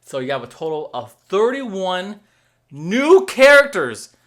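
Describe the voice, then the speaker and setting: A man in his thirties recording at a desk in a room at home, explaining a new game to viewers in a casual, animated way with many fillers and restarts. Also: sits high, takes it slowly. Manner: animated, lots of fillers and restarts, checks in with "okay?"; waves his hands while talking. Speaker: gaming video host.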